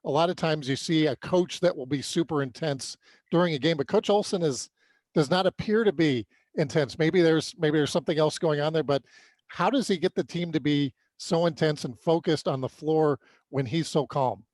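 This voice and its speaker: Higher-voiced man hosting a sports podcast. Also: moderate pace, darkish tone, no room sound. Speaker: podcast host interviewing a guest. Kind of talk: conversational sports interview.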